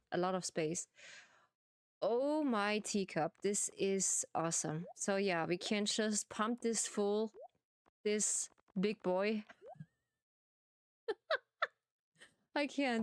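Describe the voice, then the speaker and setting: A woman streamer with a German accent, playfully chatting to viewers in simple, halting English while she plays a farming game. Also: mid-range voice, smooth, no room sound, clean studio sound.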